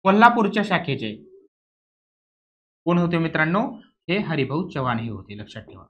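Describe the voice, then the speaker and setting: A man's voice: on the high side, very animated, moderate pace, highs cut off. A man teaching an exam coaching lesson, explaining emphatically, repeating key points and often checking understanding with rhetorical questions.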